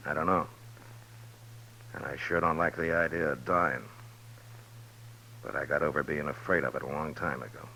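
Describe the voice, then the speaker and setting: An actor playing a stern, weary, principled western lawman in 1950s radio drama, speaking in a measured, firm, plain way. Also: rumbling low, steady tone, sharp tone, full character voice. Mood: grave. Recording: muffled, noisy old radio recording.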